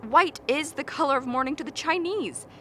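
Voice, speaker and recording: A woman's voice: high voice, animated, brisk, bright, forceful tone, slight background noise. A woman playing an earnest, impatient biologist in a radio drama, lecturing and argumentative. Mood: earnest, persuasive. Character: earnest, impatient expedition biologist defending a frozen creature. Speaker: actor in a radio play.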